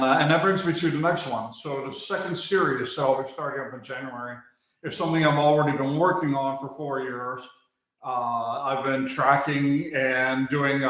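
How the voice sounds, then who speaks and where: An American-accented man talks through a live stream at an easy pace, pausing and filling gaps with "uh" as he answers viewers. His voice sits at a middle pitch, and it bounces around a strongly echoing space. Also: fairly bright tone. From Germany. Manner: unhurried, pausing with fillers, answering chat between thoughts. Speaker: wildlife channel host. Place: outdoors or open area while working on a bike. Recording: very echoey space.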